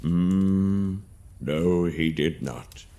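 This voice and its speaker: A man playing a kindly older storyteller, narrating a video game tale in an expressive, gentle way. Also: sits low, extremely slow, heavily acted. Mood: gentle, reassuring. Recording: long reverb, faint music behind.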